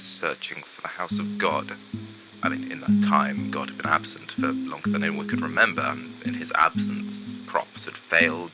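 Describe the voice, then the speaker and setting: A man playing a vain, gloomy undead showman who narrates an animated film in a pompous, drawn-out theatrical manner. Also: very deep voice, poor audio. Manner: dramatic, pompous, drawn-out delivery with hushed asides.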